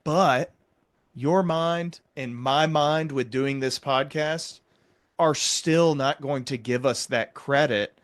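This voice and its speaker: Man's mid-pitched voice, dry close recording. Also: takes it slowly, no room sound. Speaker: podcast host interviewing. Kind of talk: reflective, explanatory conversation.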